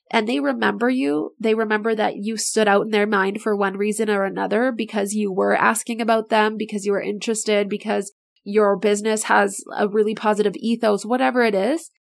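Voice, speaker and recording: A middle-aged woman hosting her own podcast, chatting in an enthusiastic, motivational way, her voice a bit raspy and tired. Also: mid-range voice. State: voice getting raspy, not feeling great. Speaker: podcast host and business owner. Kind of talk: casual solo podcast talk.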